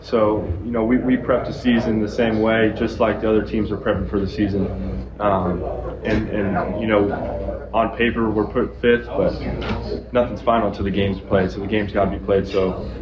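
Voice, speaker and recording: A young man from Zimbabwe, a college football player, answering press questions in a relaxed way with many fillers. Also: on the low side, normal speed, roomy sound, lo-fi sound, crowd noise. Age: young adult.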